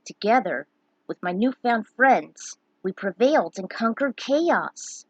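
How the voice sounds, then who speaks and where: A brave young adventurer, read by a woman, speaks earnestly in a storybook tale. The voice is fairly high and husky, recorded dry. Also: lively intonation, slow, darkish tone, dry recording, dull audio, exaggerated voice. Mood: earnest, proud.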